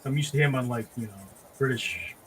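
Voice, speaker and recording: A man hosting a relaxed, casual group chat about pop culture. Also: medium pitch, fairly quick, noisy background. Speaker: host of a drink and draw show.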